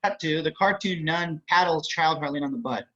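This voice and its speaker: A man's voice: a little high, moderate pace, fairly bright tone. A man reading stage and screen directions aloud as scripted narration. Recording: muffled online call audio.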